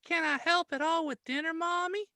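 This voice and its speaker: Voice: extremely high pitch, fairly even intonation, moderate pace, creaky, sharp tone, pitched above the performer's own voice, exaggerated voice. A podcaster voicing a sweet, obedient eleven-year-old girl in a comic audiobook reading. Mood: cheerful, sweet. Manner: cheerful, sweetly dutiful.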